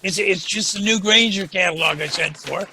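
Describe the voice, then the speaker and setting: A man's voice: sits high, moderate pace, sharp tone, a little hiss or hum, full character voice. A man playing a meek, folksy assistant to an Old West marshal in a radio western, with a hesitant, stammering, deferential delivery. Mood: flustered. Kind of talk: old-time western radio drama acting.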